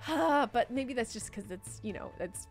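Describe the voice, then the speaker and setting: A woman giving casual, animated let's play commentary with a co-host, swearing freely. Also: on the high side, slow, soft background music.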